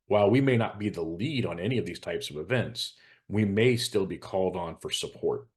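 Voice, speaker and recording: American male, very low register. Hazmat specialist on a panel, informal but measured and matter-of-fact. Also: lively intonation, a little mellow. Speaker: hazardous materials expert on a panel show. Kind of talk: informal explanatory discussion.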